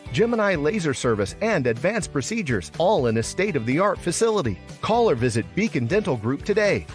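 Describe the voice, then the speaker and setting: A man delivering a scripted testimonial commercial as a spokesman. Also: fairly high pitch. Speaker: advertisement spokesman. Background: music.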